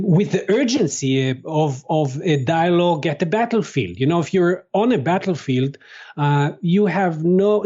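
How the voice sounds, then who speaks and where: A man whose first language is Hebrew, answering interview questions as a translator, pausing often with fillers and restarts. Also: on the high side, mellow, dull audio. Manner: halting, many fillers and restarts. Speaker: guest, translator.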